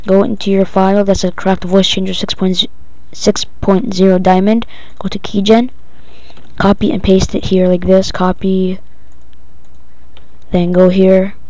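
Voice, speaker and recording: A female-sounding speaker giving a casual, halting, improvised step-by-step software tutorial. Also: mid-range voice, level tone, no echo. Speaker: tutorial presenter. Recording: dull lo-fi home recording.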